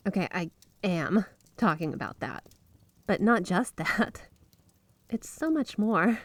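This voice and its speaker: A woman's voice: lowish voice, animated, slow, dry recording. A woman playing a flirty, possessive snow spirit wife in a roleplay ASMR monologue, coy and teasing, doting on her listener with feigned innocence. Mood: flirty, affectionate.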